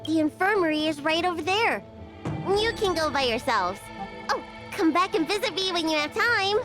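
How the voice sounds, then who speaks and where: A woman's voice: very high-pitched, animated, moderate pace, over music. A woman voicing a small childlike healer girl in a game, cute, cheeky and theatrical. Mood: playfully indignant.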